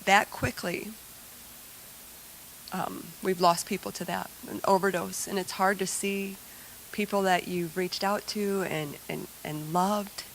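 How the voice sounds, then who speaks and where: A woman on a recovery panel, speaking haltingly and with feeling about people lost to addiction. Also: mid-range voice, steady tone, a little hiss or hum, poor audio. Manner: halting, searching for words. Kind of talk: emotional personal testimony.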